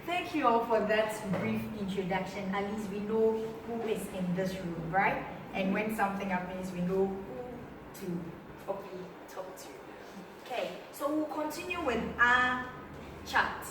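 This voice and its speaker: Woman hosting a science chat, friendly, kinda far from the mic in a boomy room with noise. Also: measured pace. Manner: friendly, laughs between remarks. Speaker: moderator of a science cafe. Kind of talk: casual event moderation. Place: room with a seated group. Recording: echoey room, distant mic, background noise.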